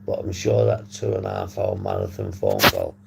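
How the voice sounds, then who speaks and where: A man hosting a casual livestream, hoarse and husky, rambling and swearing as he reads the chat. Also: a bass voice, sing-song, normal speed, a bit bright, faint music behind. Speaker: livestream host. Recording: noisy background hum.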